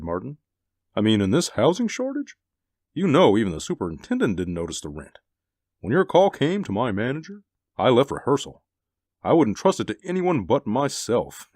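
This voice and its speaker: Hammy cheery actor type, bouncy voice, dry studio sound. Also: male voice, medium pitch, a little mellow, dry recording. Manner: jovial, theatrical and chatty. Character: breezy, theatrical male stage actor. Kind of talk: 1940s radio acting.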